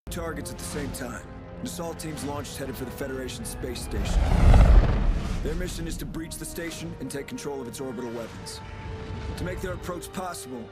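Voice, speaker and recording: An actor playing a decisive special forces leader, issuing terse, commanding orders in a military video game. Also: male voice, animated, a little fast, natural voice. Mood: businesslike, commanding. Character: hard-nosed, decisive male special forces field leader. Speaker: team leader giving orders. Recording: loud music and rumbling behind.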